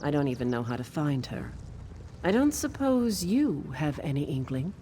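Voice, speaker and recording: An earnest forest witch from a fantasy game. Her voice is fairly low and warm, measured and determined, with faint noise and ambience behind it. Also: big pitch swings. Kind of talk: video game character acting.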